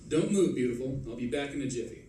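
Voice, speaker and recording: A man's voice: medium pitch, expressive, fast talker, mellow, natural voice. Man acting a wry radio detective, echoey hall. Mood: amused banter. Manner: wry, droll delivery. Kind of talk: live recreation of 1940s radio acting. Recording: echoey hall, distant mic, faint noise.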